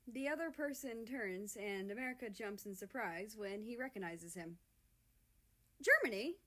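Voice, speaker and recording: A woman's voice: medium pitch, normal speed. A woman reading a story aloud as an amateur narrator. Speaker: amateur fan-fiction reader.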